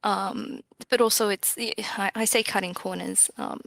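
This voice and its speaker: A woman's voice: mid-range voice, steady tone, unhurried, vocal fry, a bit bright, dry recording. A woman being interviewed as a game developer, chatting casually in a hesitant, thoughtful, self-effacing way.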